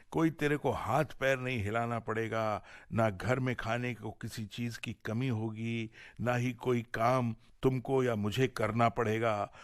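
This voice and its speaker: A man puts on a naive, bragging husband from a storybook folk tale. He is thoroughly sure of himself and talks slowly, with a mid-range voice recorded dry. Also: medium pitch, dry recording, lightly acted. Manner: bragging, self-assured.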